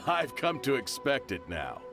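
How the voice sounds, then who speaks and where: A man's voice: mid-range voice, huge pitch swings, a little slow. A man voicing a generous, chuckling middle-aged shopkeeper and father in a game dub. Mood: jovial. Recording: game audio with music behind.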